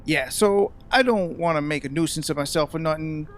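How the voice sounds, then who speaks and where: A man playing an awkward, apologetic front-desk receptionist in an audio drama, folksy and halting. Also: fairly high pitch, close to an everyday voice. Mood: awkward, obliging.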